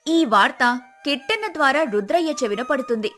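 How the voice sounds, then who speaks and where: A woman's voice: sits high, animated, a little fast, fairly bright tone. A woman reading scripted narration for a folk tale as the storyteller.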